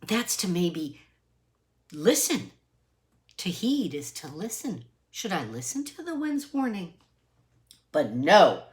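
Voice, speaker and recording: Slow, animated woman storyteller. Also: medium pitch. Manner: deliberate, emphatic. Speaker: read-aloud storyteller. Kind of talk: expressive children's picture book reading with asides.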